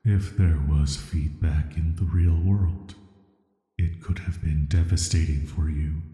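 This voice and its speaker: A man playing an ancient banished villain in an audio roleplay, speaking softly in a flat, measured, hesitant way. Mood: wounded, gentle. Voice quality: very deep, soft, atmospheric. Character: ancient banished male villain, immortal cursed being, calm and flirty. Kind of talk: slow scripted roleplay audio drama monologue. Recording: echoey, long cavernous reverb.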